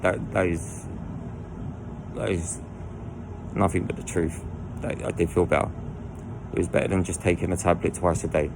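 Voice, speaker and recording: A man with a croaky voice, telling his own story in a rambling way full of restarts and asides. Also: low voice, noisy background. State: croaky voice from lying down. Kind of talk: candid personal storytelling. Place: indoors lying down at home.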